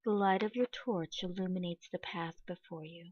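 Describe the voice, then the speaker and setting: A woman reading a scripted guided meditation in a measured, instructional way, pausing between phrases. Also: fairly low pitch, a little mellow, dull audio.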